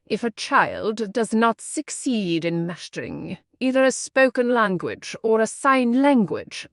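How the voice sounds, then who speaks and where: Woman, scripted informational narration, lively intonation, very dry close sound. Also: fairly high pitch, no room sound. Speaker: narrator of read-aloud exam practice passages. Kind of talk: scripted reading of short informational passages.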